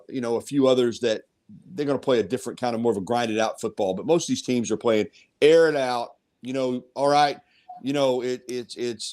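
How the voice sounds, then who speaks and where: A mature man talks sports in a conversational way. His voice is medium in pitch, and he is emphatic, often repeating phrases as he goes. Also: a little mellow. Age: middle-aged or older. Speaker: sports commentator.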